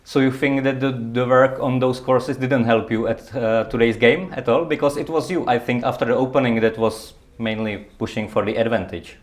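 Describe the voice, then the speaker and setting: A man's voice: medium pitch, steady tone, normal speed, hardly any pauses. A man interviewing a guest, asking plain questions and giving short acknowledgements. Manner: brief backchannel, plain questions.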